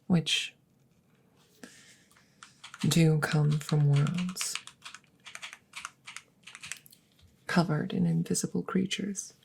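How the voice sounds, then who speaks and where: Woman as alien scientist, low-pitched, subdued mellow tone, breathy whispers, formal and deliberate, cautious curiosity, ASMR roleplay. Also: exaggerated voice. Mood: worried. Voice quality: breathy, hushed, slipping into whispers. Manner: measured, formal, deliberate phrasing.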